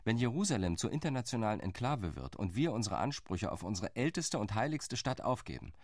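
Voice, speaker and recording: A man's voice: lowish voice, big pitch swings, brisk, darkish tone, no room sound, studio quality. A man playing a determined partition lobbyist. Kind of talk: radio play monologue.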